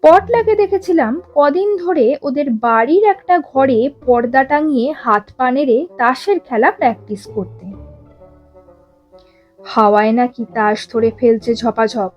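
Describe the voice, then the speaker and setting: Woman, high voice, expressive audiobook storyteller, dramatic scripted reading, background music. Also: measured pace, muffled recording. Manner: expressive, acts out characters' dialogue.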